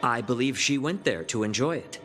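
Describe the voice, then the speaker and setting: A man's voice: medium pitch, animated, a bit bright, natural voice. An actor as a lilting, courteous male retainer. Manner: formal, polite, measured. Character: courteous male retainer, smooth, unruffled, slyly polite. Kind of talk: scripted video game voice acting. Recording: game music under the voice.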